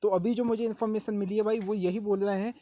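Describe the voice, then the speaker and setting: A man's voice: sits high, fairly even intonation, fast talker, warm tone. A man from Madhya Pradesh hosting an informal explainer for students, speaking familiarly with frequent check-in tags. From Madhya Pradesh, India. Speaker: exam-update video host.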